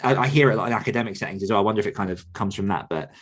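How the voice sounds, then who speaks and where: A man's voice: on the low side, very fast. A man hosting a casual podcast interview, talking in an encouraging, conversational way. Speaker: podcast host and writing coach.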